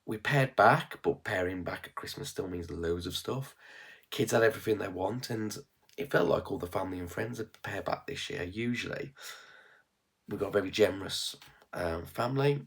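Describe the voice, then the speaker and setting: Man, West Midlands accent, nasal with a cold, husky and low, casual vlog chat. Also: normal speed. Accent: West Midlands English. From England. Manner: rambling, frank, with fillers and restarts. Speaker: frugal living vlogger.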